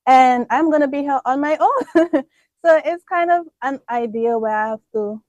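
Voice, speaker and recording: A woman's voice: high voice, normal speed, a little mellow, no room sound. A woman, reflecting in a casual radio interview and laughing often. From Dominica. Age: 20s. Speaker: interview guest.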